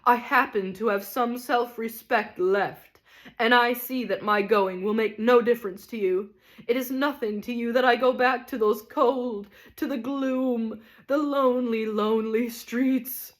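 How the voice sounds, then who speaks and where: Woman reading as a grandly bitter stage-tragedian ghost, loud, slow, self-pitying. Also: fairly high pitch, fairly even intonation, voice pushed up, close to an everyday voice. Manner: theatrical, declaiming, bitter. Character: pompous self-pitying male ghost actor.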